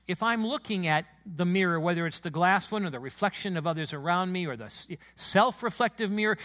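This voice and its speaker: A pastor preaching a sermon in his church, his booming voice sitting high with a bright, forceful edge. He is emotional and quick to get excited as he speaks to the congregation. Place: church sanctuary.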